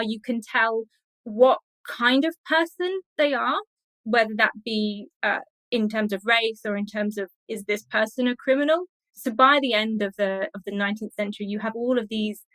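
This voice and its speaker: A woman's voice: medium pitch, fairly bright tone, dry recording, rough recording. A woman speaking as an academic guest in an interview, explaining things in a thoughtful, scholarly way.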